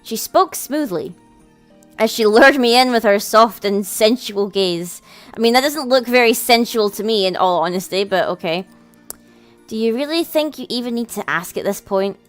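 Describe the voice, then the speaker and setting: A woman's voice: on the high side, lively intonation, moderate pace. A woman hosting a let's play, reading a visual novel aloud with casual commentary. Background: game music.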